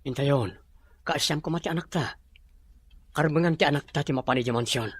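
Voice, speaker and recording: Husky, gentle young father played by a man. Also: on the high side, brisk, no echo. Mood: coaxing. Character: wealthy young father newly reunited with his child, gentle, coaxing. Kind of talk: Ilocano radio-style drama acting.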